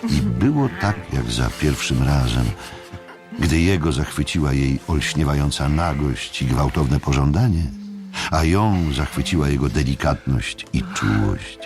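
Deep, slow, gravelly male storyteller. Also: animated, music in the background. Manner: measured, deliberate phrasing with pauses. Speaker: audiobook narrator. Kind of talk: dramatic scripted literary narration.

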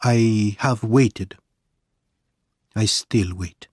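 A man's voice: fairly low pitch, very slow, darkish tone, husky, no echo, studio quality, pitched below the performer's own voice, full character voice. A man voicing an anxious, secretive Italian political exile in dramatic, earnest audiobook dialogue. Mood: grave, confessional.

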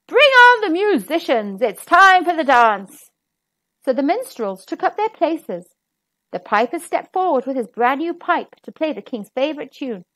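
A woman narrating a children's story as an expressive, dramatic read-aloud. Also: high-pitched, no echo. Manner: dramatic, emphatic. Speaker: storybook reader.

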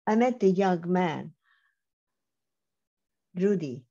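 An older woman giving a measured, emphatic personal testimony over a video call, speaking slowly with pauses. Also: lowish voice, animated, dark tone. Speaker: Holocaust survivor speaker. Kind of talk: slow personal testimony talk. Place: home room on video call. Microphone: webcam mic on video call. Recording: slightly dull lo-fi video call audio.

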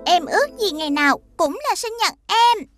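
A woman's voice: very high-pitched, lively intonation, normal speed, loud music behind the voice. A woman voicing an eager, childlike little girl in a children's cartoon, with a Southern Vietnamese accent. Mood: wishful. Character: excitable, pleading little birthday girl. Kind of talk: animated children's cartoon dubbing.

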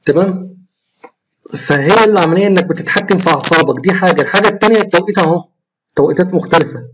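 Egyptian man, tired hoarse voice, quick and rambling, faint background hiss. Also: high voice, fairly bright tone, poor audio. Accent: Egyptian Arabic. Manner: rambling, self-correcting, explains while trading live. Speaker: trading tutorial host. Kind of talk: screen-recorded trading walkthrough.

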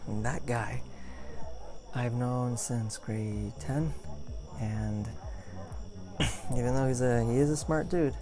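A young man acting as a caring, goofy boyfriend in an intimate ASMR roleplay, talking in a rambling, halting way. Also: mid-range voice. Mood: amused, chatty. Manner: rambling, halting, chuckling storyteller. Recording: music playing behind the voice.

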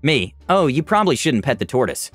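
A man's voice: mid-range voice, big pitch swings, a little fast, fairly bright tone. A man narrating online stories as scripted narration, shifting his voice for quoted people. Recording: dry close voice-over with faint music.

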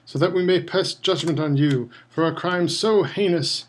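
A furious middle-aged judge, as a male reader puts him on, booming and harsh. His voice sits high and loud, and every line is a command. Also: moderate pace, slight background noise, natural voice. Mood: stern, commanding.

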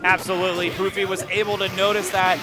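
A man doing live play-by-play and analysis of a video game match, excitable and explaining tactics in detail. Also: high-pitched, quick pace. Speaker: esports tournament commentator. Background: video game music.

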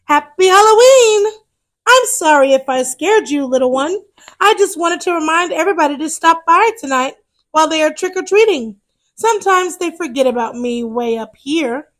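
A woman voicing a friendly, apologetic male barn owl in a children's storybook, cheerful and gentle. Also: sits high, expressive, normal speed.

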